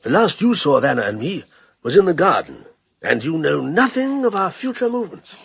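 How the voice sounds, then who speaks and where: Persuasive banker, husky and gravelly, lively pitch, dry sound. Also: male voice, a little fast, dry recording, heavily acted. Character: middle-aged male banker, smooth and persuasive. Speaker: narrator and character. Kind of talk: radio drama.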